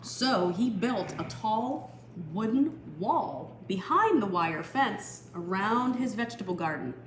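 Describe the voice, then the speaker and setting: A woman reading a picture book aloud for children, expressive and explanatory, with teacherly asides. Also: mid-range voice. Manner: explanatory, expressive, pausing to define words. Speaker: storytime reader. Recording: echoey room with faint noise.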